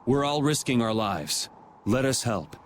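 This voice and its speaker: Casting: man to play steady young anime game hero, dry, slow delivery with lively inflection. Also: mid-range voice, slight background noise, natural voice. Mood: resolute.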